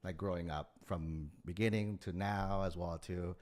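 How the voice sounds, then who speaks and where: A man's voice: sits low, steady tone, measured pace, darkish tone. A man working as an admissions coach, asking layered follow-up questions in a casual, probing way and often tagging on "right?". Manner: probing, repeats "right?" and "as well too".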